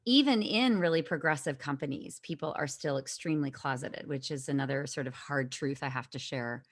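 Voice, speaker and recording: A woman's voice: low-pitched, a little fast, no echo, studio quality. A woman speaking as a guest in a conversational interview, thoughtful and earnest, with frequent fillers, heard over a video call.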